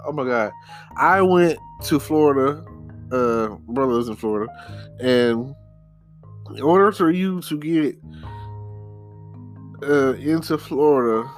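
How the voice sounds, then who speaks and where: Male podcast host from Texarkana, mid-range voice, loose off-the-cuff monologue, halting and repetitive, music underneath. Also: mid-range voice. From Texarkana, United States. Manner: rambling, halting, repeats words, says "you know" often. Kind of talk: casual rambling solo podcast monologue.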